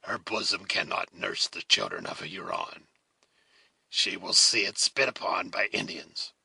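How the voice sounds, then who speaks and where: A man voicing a fierce, malign Huron warrior in a storybook reading, slow, menacing and mocking. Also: low-pitched, wildly swooping pitch, creaky, pushed and bright, breathy edge, no room sound, heavily acted. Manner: slow, menacing, ironic taunting.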